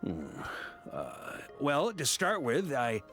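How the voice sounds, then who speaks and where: A man's voice: medium pitch, huge pitch swings, takes it slowly, heavily acted. A man voicing an earnest, friendly knight commander in a video game, talking in a hesitant, sheepish way. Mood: sheepish, awkward. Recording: game music behind the voice.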